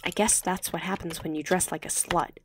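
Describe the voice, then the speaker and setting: A woman's voice: fairly low pitch, fairly even intonation, fairly quick, lightly acted. Woman voicing an indignant, prim teenage girl. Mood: scornful. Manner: indignant, judgmental. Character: disapproving, prim teenage schoolgirl typing online. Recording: slightly noisy home recording.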